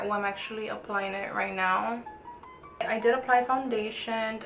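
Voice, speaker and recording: A woman's voice: on the high side, fairly bright tone. Young woman chatting in an echoey room. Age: early twenties. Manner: informal, chatty, thinking aloud. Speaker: beauty vlogger. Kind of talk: casual makeup tutorial chat. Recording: roomy echo with background music.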